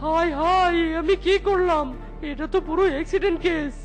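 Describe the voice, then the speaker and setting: A woman's voice: extremely high pitch, steady tone, brisk. A woman voicing a flirty, fretful male animal mango-seller in a cartoon, with singsong, exclamatory delivery. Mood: panicked. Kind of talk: cartoon voice acting. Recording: light cartoon music behind voice.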